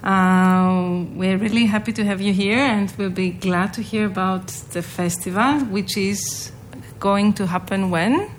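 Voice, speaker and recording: A woman's voice: medium pitch, steady tone, a little slow, few pauses, slight background noise. A woman hosting an interview, politely welcoming her guest and asking questions with some hesitant fillers. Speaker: interviewer, host.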